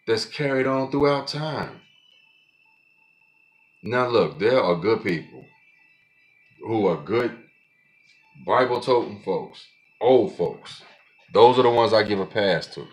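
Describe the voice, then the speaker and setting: A man in his forties talking alone on his podcast in a blunt, profane, rambling way, often restarting his sentences. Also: low-pitched. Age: 40s. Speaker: podcast host. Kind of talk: casual monologue.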